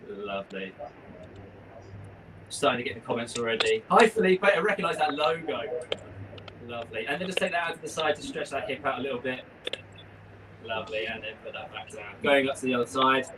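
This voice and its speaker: A man giving live exercise instructions in an upbeat, encouraging manner. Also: on the high side. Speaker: practitioner leading a warm-up class. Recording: noisy room sound, far from mic.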